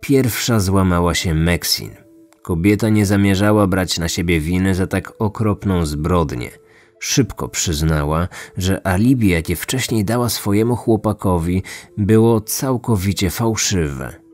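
A man's voice: sits low, measured pace, darkish tone. A man hosting a true-crime podcast, reading scripted narration. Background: music.

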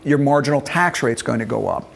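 A man's voice: mid-range voice, expressive, fairly quick, gravelly. A man in his early sixties giving an informal classroom talk on retirement money, explaining step by step and checking that his listeners follow, on a lapel mic in a meeting room. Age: early 60s. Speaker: financial planning instructor. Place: meeting room with a seated group.

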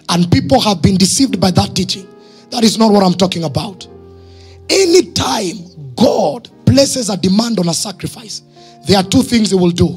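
Casting: Kenyan male pastor, high husky voice, emphatic pulpit delivery with calls to the congregation. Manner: emphatic, with short phrases and questions to the congregation. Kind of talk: sermon. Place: church stage, indoors. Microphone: handheld microphone.

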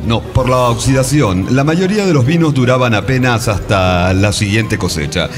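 A man's voice: medium pitch, expressive, normal speed, close to an everyday voice. A man playing an eager investigator in comic radio theatre, explaining ancient customs in a playful way. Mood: explanatory, playful. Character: eager male investigator travelling among ancient Greek gods, explaining and translating. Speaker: podcast radio-theatre performer. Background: music.

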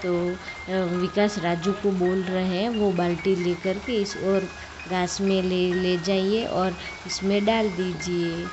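A woman's voice: on the low side, level tone, slow pace, muffled recording, over music. A woman narrating farm work for her vlog in a chatty, casual way, talking to viewers as friends, outdoors on a farm. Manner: chatty, addresses viewers as friends, many fillers. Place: outdoors on a farm near a noisy tractor.

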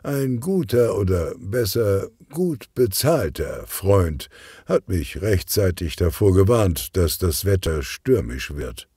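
Smug, deep-voiced gangster boss read by a man. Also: sing-song, slow pace, warm tone, slightly rough, no echo, studio quality, heavily acted. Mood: self-satisfied, tired. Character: smug male gangster boss, businesslike, weary from travel. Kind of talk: audiobook character dialogue.

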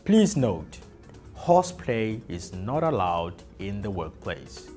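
Male presenter for a factory safety video: slow, deliberate delivery, lively pitch, lapel mic with soft music beneath. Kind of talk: scripted corporate safety narration. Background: soft background music.

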